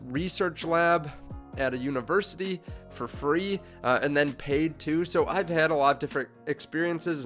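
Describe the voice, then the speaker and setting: A man's voice: fairly high pitch, fairly bright tone. A congested young man in his twenties hosting a finance channel, talking casually to camera with many fillers and restarts. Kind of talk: casual direct-to-camera talk.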